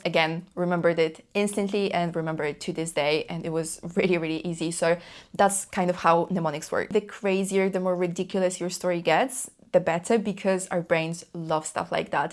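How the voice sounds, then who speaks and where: A young woman whose first language is Polish, talking to camera in a chatty, enthusiastic way and often repeating herself for emphasis. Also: on the low side. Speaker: language learning YouTuber. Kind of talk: casual talk to camera.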